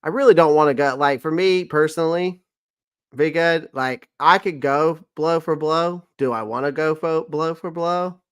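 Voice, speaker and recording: A man's voice: on the high side, moderate pace, smooth. A man in his thirties hosting a casual sports chat, rambling and correcting himself, laughing often. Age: 30s. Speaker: sports podcast host. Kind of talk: casual live-stream chat.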